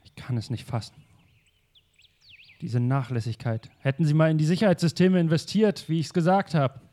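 A man's voice: a little high, moderate pace, dark tone, close to an everyday voice. A man voicing a rich, eccentric male art collector who talks in an indignant, self-assured way.

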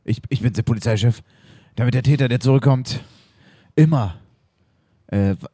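A man's voice: mid-range voice, moderate pace, dark tone, breathy edge, dry recording, studio quality, lightly acted. A man playing a fumbling, eager police chief in an improvised audio drama, hesitating and losing his thread. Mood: flustered. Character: fumbling, admiring male police chief, eager but slow to follow.

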